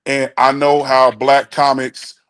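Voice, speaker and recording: A man's voice: medium pitch, monotone, slow, fairly bright tone, smooth. A man in his forties from Chicago, speaking bluntly and emphatically as a guest on a call-in talk show, often restarting his sentences. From Chicago, USA. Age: 40s. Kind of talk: casual opinion chat.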